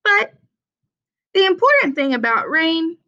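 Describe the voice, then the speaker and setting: Bright, slow-paced woman reading to children. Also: very high voice, big pitch swings. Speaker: read-aloud presenter for children. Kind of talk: children's picture book read-aloud. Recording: dull, lo-fi sound.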